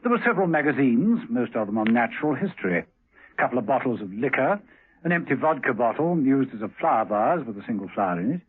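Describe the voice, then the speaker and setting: A measured narrator sets scenes in a 1970s radio spy serial. The voice is mid-pitched and a little husky, on a thin, muffled old recording. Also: male voice, sing-song.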